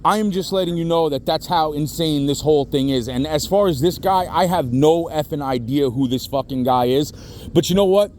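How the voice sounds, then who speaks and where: A man's voice: a little high, brisk, darkish tone. A middle-aged man on a phone call, venting in an agitated, rambling way with heavy swearing. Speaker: caller reporting to police. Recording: noisy phone call, traffic sounds behind.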